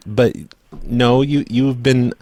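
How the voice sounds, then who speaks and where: A man's voice: medium pitch, steady tone, slow pace, warm tone, no echo. A man making a prank phone call as a host posing as a utility worker, stammering and accusing in a mock-serious way. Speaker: prank caller, show host.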